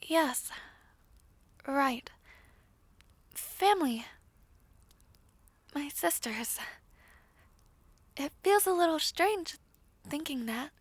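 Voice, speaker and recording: A woman's voice: high voice, lively intonation, drawn-out words, long pauses, dry recording, full character voice. A woman voicing a timid, polite young princess in an audio roleplay, with hesitant, formal speech. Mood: tentative, wistful.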